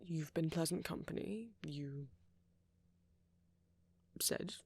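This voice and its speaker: A man performs a courteous, flirty male vampire in an intimate audio roleplay. His voice is husky and breathy with plenty of creak, recorded very dry. He speaks softly and rambles politely, apologetic and considerate while he charms. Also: on the high side, takes it slowly, no room sound, full character voice. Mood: friendly, inviting.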